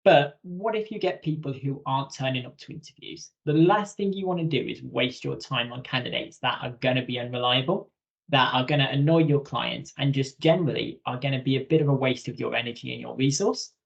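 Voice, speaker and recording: Gravelly man giving webinar tips. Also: mid-range voice, fairly quick. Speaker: software trainer presenting tips. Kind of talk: webinar presentation, conversational instruction. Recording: dull lo-fi webinar audio.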